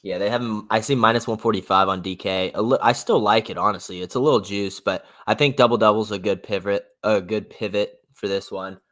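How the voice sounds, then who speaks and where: A young man with a raspy voice co-hosting a casual sports betting livestream, laid-back and agreeable. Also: on the low side, steady tone, fairly quick, hardly any pauses, a bit bright. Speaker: sports betting stream co-host.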